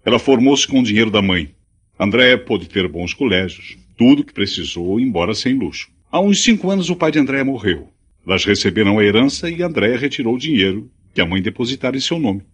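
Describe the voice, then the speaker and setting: An actor playing a gentle, resigned, educated drifter in a Brazilian radio drama, with formal diction. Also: male voice, mid-range voice, sing-song, brisk, slightly rough. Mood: explanatory.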